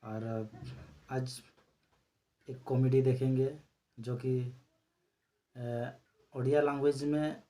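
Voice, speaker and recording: A man hosting his own channel, chatting casually to viewers and explaining a recorded call. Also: medium pitch. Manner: relaxed, explains slowly with fillers. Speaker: channel host.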